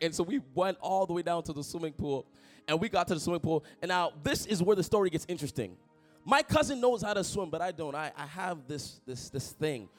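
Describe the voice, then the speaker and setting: A young man delivers a sermon full of personal stories, joking and calling out to the crowd. His voice sits a little higher than most men's. Soft music plays faintly in the background. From Toronto, Canada. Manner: animated, jokey, calls out to the congregation. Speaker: pastor.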